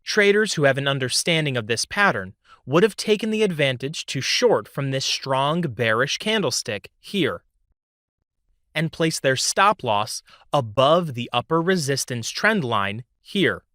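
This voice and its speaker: A man reading a scripted instructional narration in a measured, didactic way as a tutorial voice-over. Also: medium pitch, bright, forceful tone, no echo, clean studio sound.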